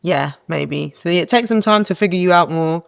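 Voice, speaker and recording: A young woman with a low voice she calls masculine, a guest giving earnest, encouraging advice in a casual podcast chat. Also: normal speed, vocal fry.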